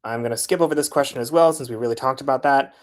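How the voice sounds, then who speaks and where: A man talks through his filmmaking process in a relaxed, conversational way. He often pauses on filler sounds while he works out his next point. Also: medium pitch. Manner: loose and chatty, frequent filler sounds. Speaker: transit video creator. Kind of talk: casual how-to talk.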